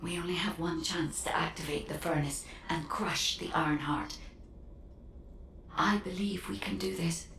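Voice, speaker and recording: Distant and echoing over noisy ambience, a solemn woman ally from a sci-fi game. She speaks low, measured and earnest, as a guide in wartime. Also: fairly even intonation, vocal fry, a bit bright. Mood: solemn resolve. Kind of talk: scripted video game dialogue.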